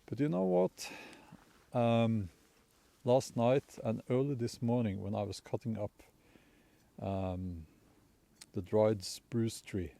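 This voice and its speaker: A man with a Norwegian accent chats to his camera outdoors in a snowy spruce forest, holding it on a tripod like a selfie stick. His voice is mellow and unhurried. He rambles in an easygoing way, with plenty of fillers and restarts. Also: lowish voice, vocal fry. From Norway. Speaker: bushcraft vlogger. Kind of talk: casual outdoor vlog talk. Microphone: camera on a tripod held as a selfie stick.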